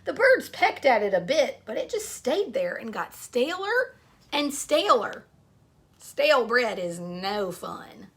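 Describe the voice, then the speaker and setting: Female storyteller for young children: high, animated voice, picture book narration with chatty asides. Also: slow. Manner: expressive, addresses young listeners with questions. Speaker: storyteller reading aloud to children.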